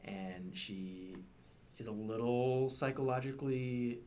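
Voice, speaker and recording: A man's voice: medium pitch, slow pace, mellow, a little hiss or hum. A man co-hosting a podcast, eagerly recommending a thriller author in a casual, conversational way. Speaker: podcast co-host. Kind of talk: casual chat, book recommendations.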